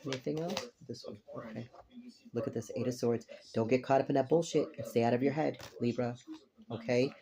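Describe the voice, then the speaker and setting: A man with a raspy voice giving a rambling, blunt tarot reading at a table, without a dedicated microphone. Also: medium pitch, fairly even intonation, brisk, heavy background noise. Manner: rambling and blunt, with casual swearing. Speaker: tarot reader. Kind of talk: casual tarot reading to camera. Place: indoors at a table covered with cards.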